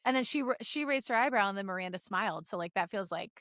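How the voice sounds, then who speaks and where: A woman's voice: medium pitch, lively intonation, quick pace, a bit bright, no room sound, clean studio sound. A woman co-hosting a casual comedy podcast, playful and full of exclamations and jokes. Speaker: podcast co-host.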